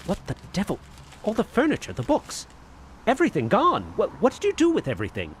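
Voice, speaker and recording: An actor playing a sensible, skeptical gentleman in a horror audio drama, speaking with formal, measured, literate diction. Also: sits high, huge pitch swings, a little hiss or hum. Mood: startled. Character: skeptical, proper gentleman friend and narrator, sensible.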